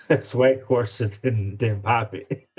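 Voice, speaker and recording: A man's voice: mid-range voice, slightly rough. A man chatting casually as an interview guest, informal and often repeating words as he searches for phrasing. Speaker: guest, independent rapper. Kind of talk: casual chat.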